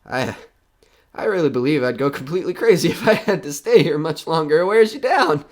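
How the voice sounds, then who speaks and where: Male actor, fraying radio reporter, horror audio drama, nervous rambling delivery, highly animated pitch. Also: close to an everyday voice. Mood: rising panic. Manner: halting, rambling, nervous laughter.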